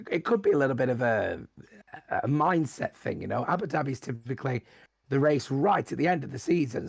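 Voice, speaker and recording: A man commentating on sim racing, his voice croaky, rambling and hesitant. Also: mid-range voice, darkish tone, no echo, clean studio sound. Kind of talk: casual commentary.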